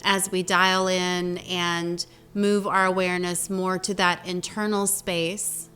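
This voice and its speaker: A woman leading a guided meditation as a teacher, speaking gently in short phrases with long pauses between them. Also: fairly low pitch, level tone. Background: meditation music.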